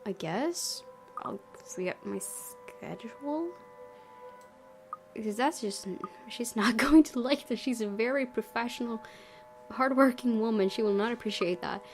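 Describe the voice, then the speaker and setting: A woman's voice: fairly high pitch, a little slow. A woman hosting a let's play, commenting casually in a chatty, giggly, rambling way. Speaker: gaming video host. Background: soft game music.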